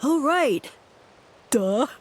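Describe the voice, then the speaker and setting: A woman's voice: sits high, expressive, extremely slow, a little hiss or hum, exaggerated voice. A woman voicing a dim, fawning little mushroom sidekick in a video game, gushing and hesitant. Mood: goofy. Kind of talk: video game character acting. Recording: faint music behind.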